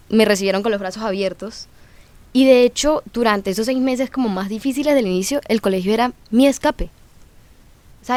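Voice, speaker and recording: A young woman tells animated stories, slipping into quick bits of dialogue. Her voice is smooth and fairly high, and it sounds dry and close, with no room echo. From Venezuela. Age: young adult. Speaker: guest, student. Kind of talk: lively anecdotal chat.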